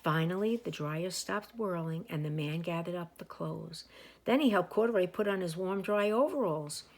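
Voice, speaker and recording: A woman reading a picture book aloud as a teacher to young children, with expressive, drawn-out emphasis. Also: on the low side. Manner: animated, with slow drawn-out emphasis; asides and explanations for young listeners.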